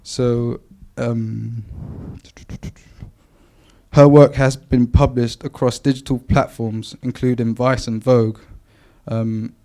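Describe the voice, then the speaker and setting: A man introducing a guest speaker at an event, halting with many fillers. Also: medium pitch, flat delivery, dark tone. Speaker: event host.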